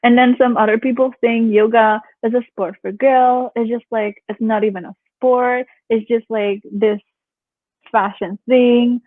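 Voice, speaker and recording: A woman's voice: fairly high pitch, steady tone, measured pace, no echo. A young woman from Canada, a yoga instructor, chatting casually as a guest with a relaxing voice; she laughs often and acts out what other people say. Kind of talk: casual interview chat. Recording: phone-like call audio.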